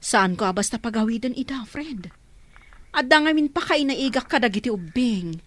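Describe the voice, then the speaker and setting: Woman playing a high-voiced, caring, resolute protector. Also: expressive, moderate pace, fairly bright tone. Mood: earnest. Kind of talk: radio drama acting.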